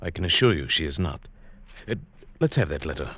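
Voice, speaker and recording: A man's voice: very deep voice, animated, fairly quick, fairly bright tone, slight background noise. A man acting as a smug, fussy, scheming professor in vintage radio suspense, speaking formally and pedantically. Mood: dread, measured. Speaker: actor and first-person narrator in a radio play. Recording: vintage radio recording with music.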